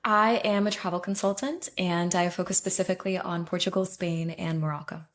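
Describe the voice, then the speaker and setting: A fairly low-voiced young woman from Ukraine chats as an interview guest. She talks loosely, hedging with ums and restarting her sentences, and laughs easily. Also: steady tone, fairly quick. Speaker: guest, travel consultant. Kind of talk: casual interview conversation.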